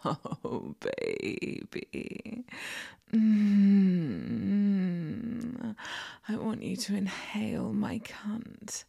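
Sultry, doting masseuse for adult audio roleplay, played by a woman: very husky, breathy, often whispered, drawn-out soothing delivery. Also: medium pitch, mellow, exaggerated voice. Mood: sultry, commanding. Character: flirtatious, fawning adult masseuse doting on a client. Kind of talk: erotic audio roleplay, ASMR-like.

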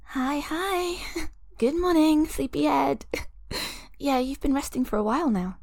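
A woman voicing an obsessive young woman who holds her lover captive, sweet on the surface and cruel beneath, talking in breathy whispers and giggles. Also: high-pitched, measured pace, darkish tone, no room sound. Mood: doting. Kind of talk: audio roleplay drama.

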